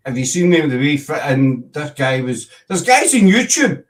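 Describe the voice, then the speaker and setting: A man's voice: fairly high pitch, very animated, moderate pace, recorded from a distance. A Glaswegian Scottish man hosting a casual livestream chat, rambling and breaking off to greet viewers. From Scotland. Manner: rambling, self-interrupting, chatty with viewers. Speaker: host.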